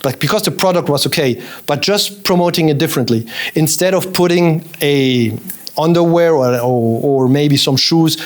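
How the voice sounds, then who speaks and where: Podcast guest, native German speaker in English, forties, brisk storyteller with lots of restarts. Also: male voice, on the high side. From Colombia and Germany. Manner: fast, animated storytelling with restarts. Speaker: interview guest, fashion executive. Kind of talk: casual interview chat.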